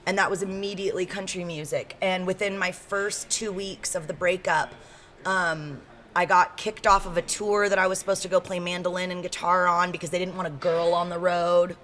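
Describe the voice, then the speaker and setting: A woman in her thirties from Seattle, a country singer-songwriter, chatting frankly in an interview with a deeper voice and lots of ums and you-knows. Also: fairly even intonation, moderate pace, fairly bright tone. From Seattle, USA. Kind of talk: casual interview storytelling.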